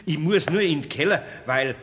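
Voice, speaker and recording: A man's voice: on the high side, expressive, normal speed, a bit bright. An actor playing a flustered, good-hearted older craftsman in a German radio play, rambling and stammering in homely Bavarian dialect. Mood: flustered, evasive. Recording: reverberant studio radio play.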